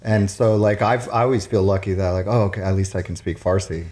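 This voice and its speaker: An American man from Nevada in a casual, reflective chat, thinking aloud in a halting way. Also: low-pitched, fairly even intonation, a little mellow. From Reno area, Nevada, United States. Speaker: musician guest.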